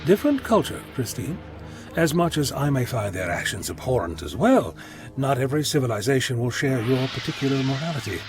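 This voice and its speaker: A man acting in an audio drama as an eccentric, self-assured time traveller, wordy, breezy and gently lecturing. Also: medium pitch, sing-song, a little fast, over music, close to an everyday voice. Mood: measured, curious.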